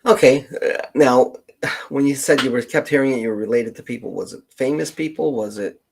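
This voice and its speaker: A man with a Canadian accent hosting a casual livestream chat, meandering and thinking aloud in a halting way. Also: mid-range voice, vocal fry, darkish tone, a little hiss or hum. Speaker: livestream host, genealogist.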